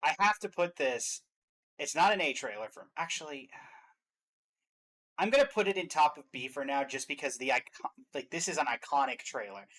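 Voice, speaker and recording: A man with a fairly high voice gives casual reaction commentary on a game. He hosts in a chatty, emphatic style, sharing his opinions freely. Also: lively intonation, moderate pace, a bit bright. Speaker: host of a reaction and ranking show.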